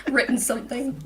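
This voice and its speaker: A woman who is an author chatting casually on a livestream, enthusiastic, informal and jokey. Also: on the high side. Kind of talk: casual chat.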